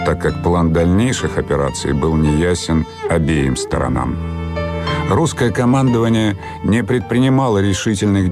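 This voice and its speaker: Deep male narrator for scripted war-history drama, prominent music underneath. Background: instrumental music.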